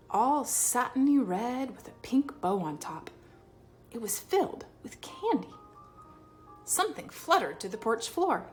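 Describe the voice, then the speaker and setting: Woman storyteller, lively swooping intonation, slightly high voice, children's read-aloud, expressive pauses, faint music. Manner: animated, dramatic emphasis and pauses.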